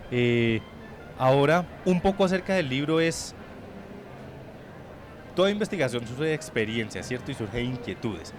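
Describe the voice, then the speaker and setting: A man speaking politely and with measured phrasing as he hosts a semi-formal live interview. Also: mid-range voice, noisy background. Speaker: publishing house director hosting a book launch interview. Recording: busy book fair crowd behind. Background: crowd chatter at a book fair.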